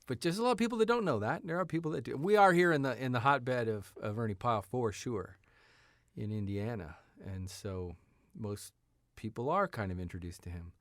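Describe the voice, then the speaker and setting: A man in his fifties telling stories casually as an interview guest, in a halting, meandering way. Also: mid-range voice, animated, no room sound, studio quality. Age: 50s. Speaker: writer, actor, interview guest. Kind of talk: casual conversational storytelling. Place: radio studio.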